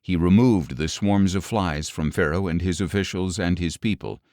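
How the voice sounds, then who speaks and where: A man with a deep voice narrates an audio scripture reading. His delivery is measured and solemn, each word clearly enunciated. The sound is dry, with no room around the voice. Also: darkish tone, dry recording.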